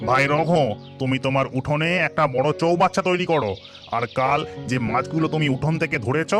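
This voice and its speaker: A man's voice: a little high, normal speed, over music, close to an everyday voice. Man voicing a kindly male ghost, cartoon. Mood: helpful, suggesting. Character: kindly male village ghost in human guise. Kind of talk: Bengali cartoon voice acting.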